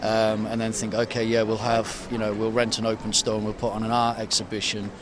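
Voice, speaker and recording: Hesitant monk in his thirties, flat voice. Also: fairly low pitch, fairly quick. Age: 30s. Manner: hesitant, many ums and restarts. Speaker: interviewee, monk. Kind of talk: street vox pop interview. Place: outdoors on a city street. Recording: outdoor street with loud traffic noise.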